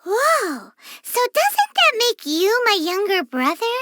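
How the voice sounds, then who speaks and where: A woman voicing a tiny floating fairy companion in a video game, childlike, squeaky, chirpy and naively bossy. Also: very animated, slow pace, sharp tone, no room sound, clean studio sound, heavily acted. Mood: excited, teasing. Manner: refers to self in third person, naive and bossy. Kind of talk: video game character voice lines.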